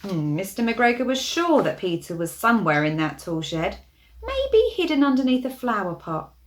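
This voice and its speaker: Expressive woman reading to young children. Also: fairly high pitch, normal speed, a little hiss or hum. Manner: animated, with gasps, hushed asides and simple questions to young listeners. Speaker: children's storyteller.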